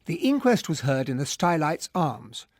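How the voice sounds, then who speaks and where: An actor playing a genteel English gentleman who narrates a detective radio drama in a reflective, well-spoken way. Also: on the high side, sing-song, moderate pace, no room sound, clean studio sound. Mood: reflective, intrigued.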